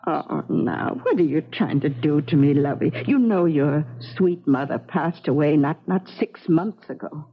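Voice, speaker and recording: A woman's voice: deep voice, measured pace, few pauses, breathy edge, heavily acted. A woman playing a fussy, doting older colonial maidservant in a radio drama, fretful and coaxing. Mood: fretful, explaining. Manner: fretful, coaxing, gushing endearments. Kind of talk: 1970s radio drama acting. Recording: dull 1970s radio recording.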